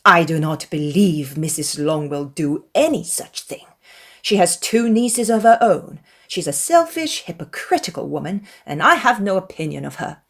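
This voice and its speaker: A woman voicing an excitable, easily vexed middle-aged mother in a dramatized classic novel, with dramatic, fretful exclamations. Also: fairly low pitch, moderate pace. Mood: resentful.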